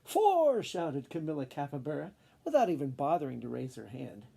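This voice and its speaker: A man reading a children's picture book aloud in an expressive storytelling style. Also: medium pitch, a little fast, creaky, breathy edge.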